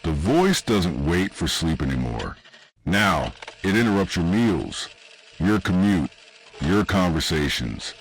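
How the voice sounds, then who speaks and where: Deep guy doing creepy horror narration, really slow and deliberate, bit of noise behind him. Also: very animated. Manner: ominous, deliberate, short dramatic phrases with pauses. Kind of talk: scripted second-person analog horror narration.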